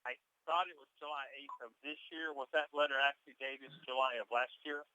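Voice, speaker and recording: A man's voice: medium pitch, fairly even intonation, a little fast, pushed and bright, clean tone, no room sound, dull audio. A man asking informal questions as a participant in a telephone conference meeting. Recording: telephone conference call audio.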